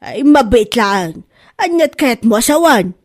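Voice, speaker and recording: A woman playing a quarrelsome, gullible, indignant woman in a comedy audio drama, with an Ilocano accent and drawn-out exasperated delivery. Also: a little high, big pitch swings. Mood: aggrieved, complaining. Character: quarrelsome, gullible woman accusing a bag seller of lying. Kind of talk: Ilocano comedy radio-style drama acting.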